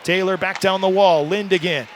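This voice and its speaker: High-pitched, excitable male hockey play-by-play announcer. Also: normal speed, a little hiss or hum. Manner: excitable, builds from steady calls to shouts. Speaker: radio play-by-play broadcaster. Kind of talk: live ice hockey play-by-play commentary.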